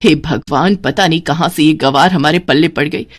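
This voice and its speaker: Woman acting a lower-voiced, exasperated older mother-in-law. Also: moderate pace. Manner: weary, scolding. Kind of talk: animated moral story character voice.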